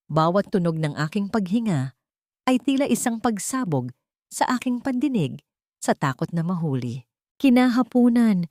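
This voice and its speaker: A woman's voice: on the low side, a little mellow, dry recording, studio quality. A woman narrating a scripted first-person dramatic audiobook in a solemn, suspenseful manner.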